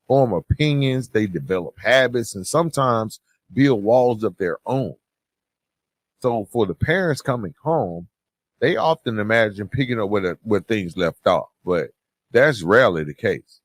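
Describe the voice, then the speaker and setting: Male podcast host, lowish pitch, animated intonation, earnest and reflective advice-giving delivery. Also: no echo. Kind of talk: reflective advice talk to listeners.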